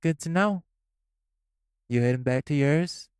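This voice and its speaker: A man's voice: on the high side, measured pace, a little mellow, clean tone, no room sound, studio quality, natural voice. A man playing a smug, scheming gangster. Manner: smug, mocking, sly. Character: smug, scheming male gangster, sly and teasing. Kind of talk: audio roleplay acting.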